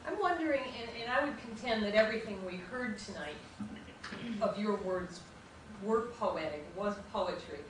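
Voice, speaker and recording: Female audience member, spontaneous question, middling pitch, far off-mic, strongly reverberant hall. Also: lively intonation, moderate pace, a little hiss or hum.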